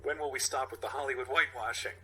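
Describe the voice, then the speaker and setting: Casting: male comedy podcast host, average pitch, narrating a spoof drama with conversational asides. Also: fairly even intonation, quick pace, sharp tone, breathy edge. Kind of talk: comic mock-dramatic script reading and banter.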